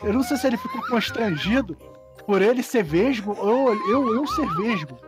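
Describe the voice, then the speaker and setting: A man with a high voice talks loosely in a podcast chat, full of slang, swearing and restarts. Faint music plays behind him. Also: wildly swooping pitch, normal speed. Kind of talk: casual chat among friends.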